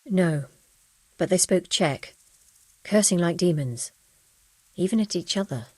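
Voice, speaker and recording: Casting: bereaved villager woman, game drama, frank and subdued, dark warm tone, dry recording. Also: lowish voice, expressive, unhurried, slightly rough, no room sound. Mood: troubled. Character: shaken, bereaved medieval village widow, frank and weary. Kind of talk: dramatic video game acting.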